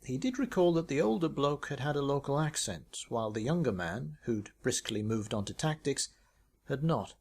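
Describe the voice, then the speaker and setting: A man's voice: mid-range voice, lively intonation, normal speed, vocal fry, a little mellow. A man reading his own short story as a scripted narration.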